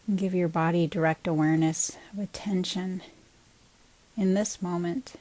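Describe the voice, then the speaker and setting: A woman guiding a body scan meditation as a teacher, in a calm, gentle, unhurried manner with pauses between short phrases. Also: fairly low pitch, no echo.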